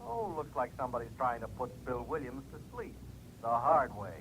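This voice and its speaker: An American actor playing a folksy, wry insurance adjuster in a 1950s radio drama. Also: male voice, medium pitch, lively intonation, moderate pace, fairly bright tone, natural voice. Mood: teasing, casual. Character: folksy, wry male insurance bureau adjuster handing out assignments. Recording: tinny old radio, faint noise.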